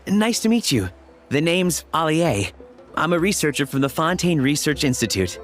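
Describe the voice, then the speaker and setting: Polite game researcher, scholarly, articulate exposition, fairly high male pitch, background music. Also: sing-song, moderate pace. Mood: friendly, keen. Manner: articulate, explanatory. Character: keen, well-mannered scholarly ruins researcher, male. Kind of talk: video game character dialogue, lore exposition. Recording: clear music bed behind.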